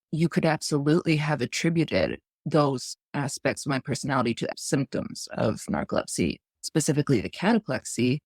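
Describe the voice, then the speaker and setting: A woman in her thirties from the United States, a podcast guest telling her personal story in a measured, thoughtful way. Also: very deep voice, a little mellow, no room sound, clean studio sound. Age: 30s.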